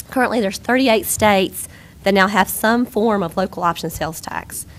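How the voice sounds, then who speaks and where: A woman getting over a cold, her voice squeaky, giving a briefing to a county board. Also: a little slow. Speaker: presenter on sales tax. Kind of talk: briefing presentation. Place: county board meeting room.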